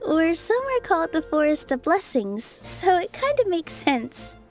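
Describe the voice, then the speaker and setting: An actress playing a gentle, earnest young woman who acts as a forest fairy in a video game, thoughtful and sincere. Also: very high voice, very animated, a little slow, faint music behind, exaggerated voice. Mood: reflective. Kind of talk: video game character acting.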